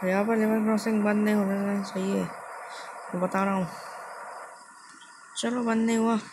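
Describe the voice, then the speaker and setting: A man's voice: sits high, little pitch movement, moderate pace. A man with an Indian accent giving casual live gameplay commentary as a video host, often repeating phrases and mixing in English words. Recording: noisy amateur screen recording with ambient sound.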